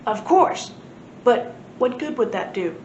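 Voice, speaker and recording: A woman's voice: fairly high pitch, very animated, slow, a little mellow, roomy sound, highs cut off, a little hiss or hum. A woman voicing a gloomy, moaning professor and inventor in a storybook. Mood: resigned. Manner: moaning, doom-laden. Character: gloomy professor with a nose, time-machine inventor.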